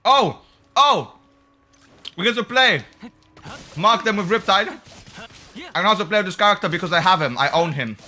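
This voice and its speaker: Guy streaming a game, sharp bright voice, slangy hyped chatter, bit of game music in the back. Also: high-pitched, animated, moderate pace, pauses often. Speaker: gaming streamer. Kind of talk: casual playthrough commentary. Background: video game music.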